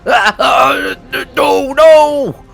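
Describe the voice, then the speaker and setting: A man's voice: very high voice, extremely slow, vocal fry, a bit bright, faint music behind, voice pushed up, heavily acted. A man voicing a frightened male guide in a tabletop game, crying out in broken shrieks. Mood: terrified. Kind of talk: tabletop game character acting.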